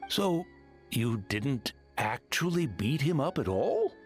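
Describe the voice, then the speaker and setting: A man's voice: mid-range voice, sing-song, takes it slowly, faint music behind. An actor voicing a cocky, cheerful male tanuki yokai elder in a video game, boastful and playful. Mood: smug, teasing.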